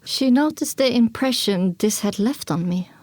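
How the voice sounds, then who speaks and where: A woman's voice: a little high, animated, moderate pace, darkish tone, no echo. A woman narrating an audio drama in the first person, with a dramatic scripted delivery.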